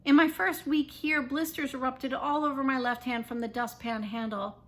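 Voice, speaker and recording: A woman with a fairly high, very bright voice reads a middle grade story aloud. Her delivery is animated and expressive, told in the first person like dramatic storytelling for children. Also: normal speed. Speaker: author reading aloud.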